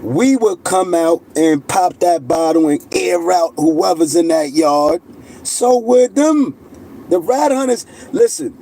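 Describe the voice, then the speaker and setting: Mellow-voiced man in a street-slang monologue. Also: sits high, lively intonation, takes it slowly, creaky. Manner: street slang, insistent, repeats "you know what I mean". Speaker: channel host. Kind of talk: casual monologue to camera.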